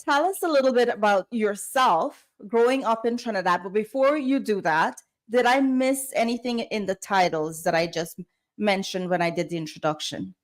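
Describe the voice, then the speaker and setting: A woman's voice: medium pitch, normal speed. A woman hosting a conversational interview. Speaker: interview host.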